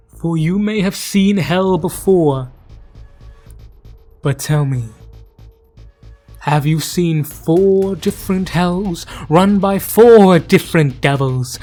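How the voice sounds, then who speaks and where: Super slow spooky dramatic narrator, kinda whispery at times, grandiose lore storytelling, music playing behind. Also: mid-range voice, slightly rough. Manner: theatrical, drawn-out delivery with frequent hushed whispers. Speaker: narrator of a fantasy audio drama.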